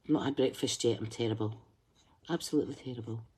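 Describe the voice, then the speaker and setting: A woman's voice: extremely low pitch, fast talker, a little mellow, husky. A woman hosting a craft tutorial, chatting and thinking aloud as she colours, humming to herself while she concentrates. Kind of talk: live colouring demonstration.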